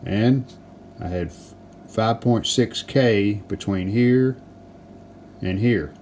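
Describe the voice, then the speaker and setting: Male DIY repair host, lowish voice, matter-of-fact casual delivery, noisy recording. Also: slow pace, a little mellow. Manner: plain-spoken, matter-of-fact step-by-step explaining. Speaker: restoration video host. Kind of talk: casual hands-on repair narration. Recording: clearly noticeable background noise.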